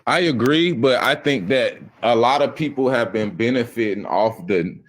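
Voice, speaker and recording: A man's voice: medium pitch, normal speed. A man hosting a casual group debate, talking in an emphatic, lecturing way and often checking in with "right?" and "okay?".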